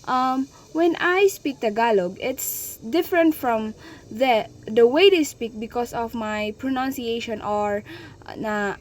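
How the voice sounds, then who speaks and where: A woman's voice: a little high, a little mellow, slight background noise. A young woman with a Filipino accent presenting a class report as a student reporter, often restarting words and slipping into Tagalog phrases. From Philippines. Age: young adult.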